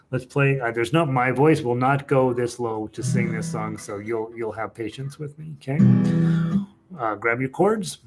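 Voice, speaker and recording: A man's voice: fairly low pitch, slight background noise. A man teaching a casual fingerstyle guitar lesson in an informal, hesitant way, as if thinking aloud.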